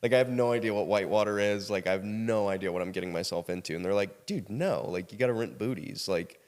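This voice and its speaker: A man's voice: lowish voice, fast, darkish tone. An American man from South Carolina telling casual personal stories as a podcast guest, acting out bits of conversation as he goes. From South Carolina, United States. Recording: reverberant hall-like room sound.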